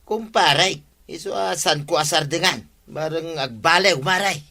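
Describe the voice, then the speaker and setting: Bright-voiced, grumbling village man. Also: fairly high pitch, normal speed, gravelly. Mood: exasperated. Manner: complaining, exasperated. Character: grumbling, exasperated village man complaining about his captain. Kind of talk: comedy radio drama acting.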